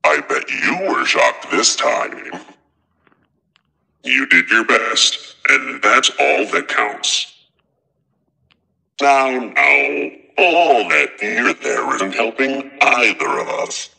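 Animatronic bear entertainer, video game villain, slow delivery, very forceful bright tone, gravelly, falsely jolly, taunting. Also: male voice, medium pitch, lively intonation, exaggerated voice, rough recording. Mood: mock sympathy. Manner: mock-friendly, taunting. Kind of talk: theatrical video game character voice lines.

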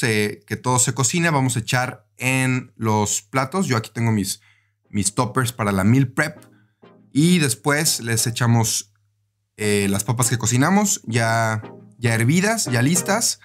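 A man's voice: mid-range voice, a little slow, soft background music. A man with a Mexican Spanish accent giving a casual, conversational recipe voice-over, speaking directly to the viewer as a cooking host.

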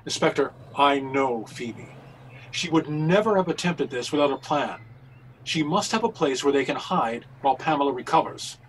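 A man's voice: a little high, lively intonation, normal speed, lightly acted, low-quality recording. A man playing a formal, articulate, insistent reverend detective in a period radio mystery. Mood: urgent, commanding. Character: urgent, commanding reverend amateur detective in 1900s mystery. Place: indoor home video call. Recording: faint noise on video call.

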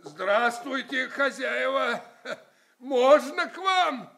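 An actor playing a shrewd, curious old giant uncle in a radio play, folksy and drawling. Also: male voice, very high-pitched, animated, extremely slow, creaky, pushed and bright, faint music behind, exaggerated voice. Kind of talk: Soviet radio play acting.

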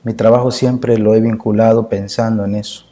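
A Mexican man in his fifties, a poet and chronicler, speaking in a measured, earnest way as an interview guest in a dark studio. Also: on the low side, level tone. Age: 50s. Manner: measured, earnest, lists ideas in phrases. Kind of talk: reflective interview talk.

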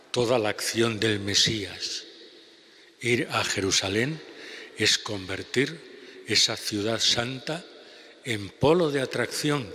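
An older man with a Spanish accent preaching a homily at a lectern, slow and measured with pauses. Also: fairly low pitch, pushed and bright. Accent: Spanish (Spain). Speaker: priest. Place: large church basilica. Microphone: lectern gooseneck mic. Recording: long church reverb.

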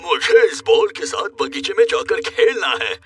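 A man voicing a jolly grown-up male cartoon animal, booming and theatrical, with a husky voice. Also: big pitch swings, normal speed, dry recording, distant mic, soft background music, exaggerated voice. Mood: hearty. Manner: exclaiming, chuckling, theatrical. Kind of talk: children's cartoon dub dialogue.

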